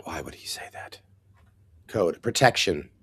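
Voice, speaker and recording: A man with a hoarse, raspy voice, co-hosting a casual Bible discussion with wry, sarcastic asides. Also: deep voice, expressive, measured pace, fairly bright tone, no echo, in a whisper. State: hoarse, recovering lost voice. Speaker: co-host.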